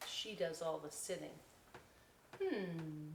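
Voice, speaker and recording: A middle-aged woman hosts a children's read-aloud at a slow, deliberate pace. She stresses key words and drops into folksy, chatty asides to explain them simply. Her voice sits back from the microphone in a roomy, echoing space. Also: deep voice, a little hiss or hum. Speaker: library storytime host reading aloud. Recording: roomy echo, distant microphone.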